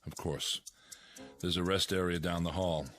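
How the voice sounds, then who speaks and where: An actor in a radio drama playing a composed military general, formal and courteous with measured authority. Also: male voice, sits low, little pitch movement, a bit bright. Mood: calm, courteous.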